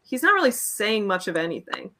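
Wry woman with a bright voice. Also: mid-range voice, very animated, a little fast, slight background noise. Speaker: writer and editor. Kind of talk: casual stream chat.

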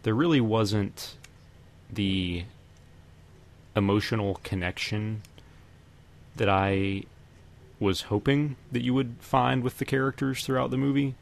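A man hosting a podcast, casually discussing films and giving his opinions in a measured, hesitant way. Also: lowish voice, creaky. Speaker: podcast host.

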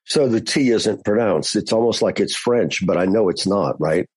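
A man's voice: fairly low pitch, lively intonation, normal speed, darkish tone, slightly rough, no echo. A man hosting a casual podcast with a nasal, stuffy voice while he recovers from flu, talking in a friendly, joking way. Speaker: podcast host.

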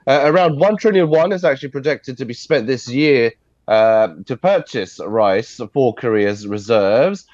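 A man briefs the news from a video call, his voice congested from a cold. The audio is dry and slightly dull, and he explains at an even, unhurried pace, filling gaps with uh and um. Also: mid-range voice, no room sound. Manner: steady explanatory delivery with frequent uh fillers. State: congested, stuffy nose. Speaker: news correspondent.

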